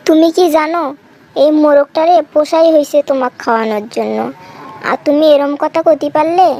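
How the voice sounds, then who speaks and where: A young cartoon wife acted by a woman with a very high, childlike pitch and a rural Bangladeshi accent. She coaxes and chides in comic domestic dialogue, protective of her family. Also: brisk, mellow, a little hiss or hum. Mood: hurt, scolding. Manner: coaxing, chiding.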